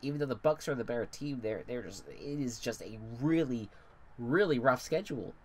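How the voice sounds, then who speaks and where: A man's voice: mid-range voice, expressive, a little fast, a little mellow. Stuffy-nosed young man, rambling. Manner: rambling, swears casually, repeats words. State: congested, stuffy nose. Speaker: gaming commentator. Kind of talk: casual commentary while playing a football video game.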